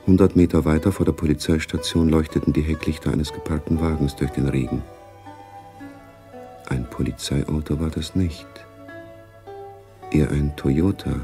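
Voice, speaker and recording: Grave male narrator, bottomless creaky voice, literary spy radio play, knowing. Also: rumbling low, warm tone. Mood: grave, watchful.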